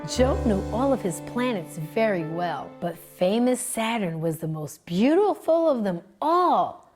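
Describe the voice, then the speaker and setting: Woman, librarian, children's book read-aloud, gentle, expressive, slow, faint music behind. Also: mid-range voice.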